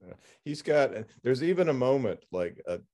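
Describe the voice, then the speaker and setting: A man hosting a casual interview, talking in a halting way as he searches for his words. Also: medium pitch, big pitch swings, warm tone. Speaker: podcast host interviewing an author.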